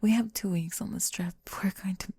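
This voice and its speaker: A woman playing a remorseful, vulnerable young woman in an intimate emotional ASMR roleplay, speaking haltingly and tenderly in a breathy, often whispered voice, close to the mic. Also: medium pitch, steady tone, mellow, no room sound. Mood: vulnerable, hushed. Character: remorseful, vulnerable young woman confessing to someone she rejected. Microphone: close, dry microphone.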